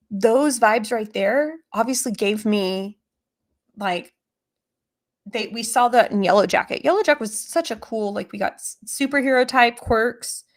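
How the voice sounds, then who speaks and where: Chatty woman with a low voice. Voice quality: low voice for her today. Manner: chatty, rambling, many fillers. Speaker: streamer answering chat. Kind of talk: casual livestream chat.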